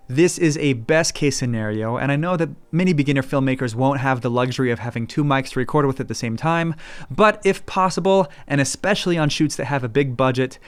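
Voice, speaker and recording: Man, smooth clear voice, mid pitch, steady instructive tutorial narration, channel host. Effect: EQ-filtered demo voice: muffled, phone-like, thin.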